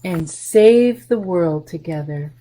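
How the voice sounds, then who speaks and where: A woman's voice: on the low side, animated, slow, dark tone, slight background noise, poor audio. A woman hosting a story time for young children, reading aloud and chatting casually in simple, encouraging language.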